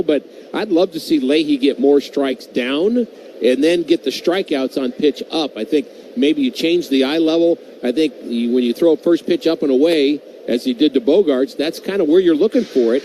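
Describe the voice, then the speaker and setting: A man's voice: a little high, mellow, slight background noise, poor audio. A man giving conversational, explanatory baseball analysis as a radio color commentator. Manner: explanatory, unscripted.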